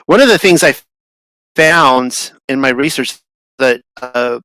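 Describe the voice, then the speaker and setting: A man's voice: medium pitch, lively intonation, takes it slowly, sharp tone, no echo, low-quality recording. A man talking in a casual, rambling way, often restarting and repeating words as a guest. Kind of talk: casual conversational talk.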